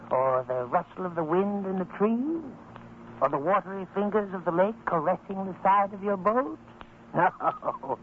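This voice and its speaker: A man's voice: high-pitched, phone-quality sound. A man playing a sly, teasing gambling companion with a theatrical, insinuating manner, in an old radio drama. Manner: smooth-talking, theatrical, insinuating. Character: sly, wheedling male gambling crony, oily and teasing. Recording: thin, band-limited old radio recording, faint noise.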